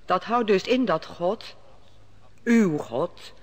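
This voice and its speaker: A woman's voice: mid-range voice, lively intonation, slow pace, a little mellow, long reverb tail. An actress playing a skeptical queen who questions a prisoner in an old radio play. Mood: probing. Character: inquisitive Babylonian queen, probing, skeptical. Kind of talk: 1950s Dutch radio drama acting.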